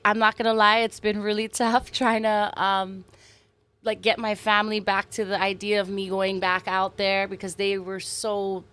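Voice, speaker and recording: A woman from Hawaii in her thirties, a singer-songwriter talking earnestly and animatedly about her music and roots in an interview. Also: medium pitch. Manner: warm, earnest, animated storytelling with soft laughs. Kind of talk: casual interview chat.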